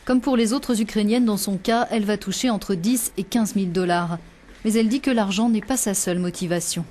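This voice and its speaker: A woman's voice: mid-range voice, dark tone. Woman reading a news report. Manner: measured, clear news delivery. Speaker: reporter narrating. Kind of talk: scripted news voice-over.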